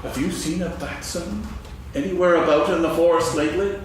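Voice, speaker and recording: A man's voice: fairly high pitch, brisk, close to an everyday voice. A man playing a pompous, absentminded owl in a staged reading of a children's story, bluffing at being wise. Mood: self-important. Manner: pompous, ponderous, hesitant bluffing. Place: on stage in a hall, recorded from afar. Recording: echoey hall, distant, faint noise.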